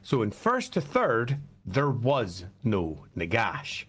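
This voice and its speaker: A man with a slightly croaky, hoarse voice, talking casually and emphatically as a host explaining fan topics. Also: medium pitch, wildly swooping pitch, slow pace, fairly bright tone.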